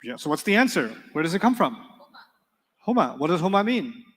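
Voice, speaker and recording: Playful, high-voiced man teaching in a hall. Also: sing-song, fairly quick, a bit bright, husky. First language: Urdu. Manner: playful, joking, quizzes students in call-and-response. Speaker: Arabic teacher. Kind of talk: live classroom lecture with storytelling.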